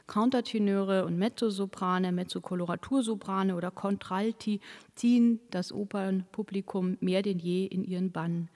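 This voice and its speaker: A woman's voice: medium pitch, a little mellow. Woman lecturing in a reverberant hall. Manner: measured, academic phrasing with occasional self-corrections. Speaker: academic lecturer. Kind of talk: formal read lecture.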